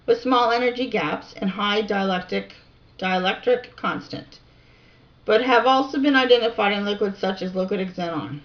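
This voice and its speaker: A woman reading reference text aloud in a deliberate, informal way, with casual musing asides, on a home recording. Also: fairly high pitch, muffled recording. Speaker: amateur video researcher and narrator.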